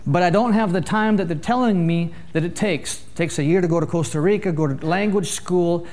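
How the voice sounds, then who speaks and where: A joking preacher man, higher voice. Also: brisk. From Canada. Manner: jokey, lively storyteller addressing a congregation. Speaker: visiting preacher. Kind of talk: sermon with humorous storytelling.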